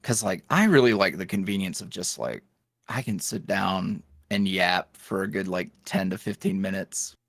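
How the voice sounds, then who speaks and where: A man speaking as a podcast guest in a casual interview, animated and jokey, with slangy, self-deprecating talk. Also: sits low, moderate pace, dry recording, studio quality. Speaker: podcast guest, audio roleplay creator.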